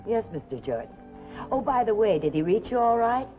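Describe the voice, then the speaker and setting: Solicitous newspaper secretary, animated pitch, dull vintage 1950s soundtrack. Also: female voice, fairly quick, soft background music. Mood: businesslike. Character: loyal, fussing newspaper office secretary woman. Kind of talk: 1950s television drama acting.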